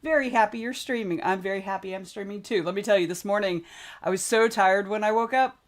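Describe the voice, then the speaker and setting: A woman hosting a casual writing livestream, chatting and greeting viewers by name. Her voice is a little hoarse and wobbly, and she keeps clearing her throat. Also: medium pitch, brisk. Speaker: livestream host. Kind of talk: casual chat on a writing stream.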